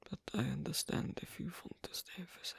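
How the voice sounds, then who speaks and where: A man playing a gentle, worried royal of a fantasy kingdom in an ASMR story roleplay, speaking in a breathy, husky whisper with halting, formal phrasing. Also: dry recording, lightly acted. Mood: kind, hesitant.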